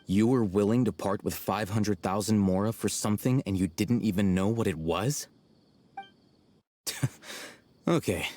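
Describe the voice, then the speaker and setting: An actor playing an aloof, blunt young scholar in a video game, cool, articulate and condescending. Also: male voice, on the low side, measured pace, darkish tone, a little hiss or hum, lightly acted. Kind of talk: English video game voice acting. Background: faint game background music.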